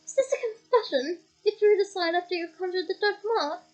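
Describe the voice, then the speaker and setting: A woman's voice: very high-pitched, brisk, darkish tone. A woman voicing a stern middle-aged wizard official who barks out accusations. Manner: stern, barking, incredulous.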